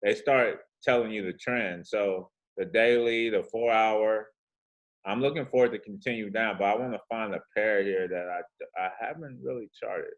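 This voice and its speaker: A man's voice: on the low side, normal speed, rough recording. A man with a soothing voice casually walking through trading charts, laid-back and slangy with rambling asides. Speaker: forex trading host.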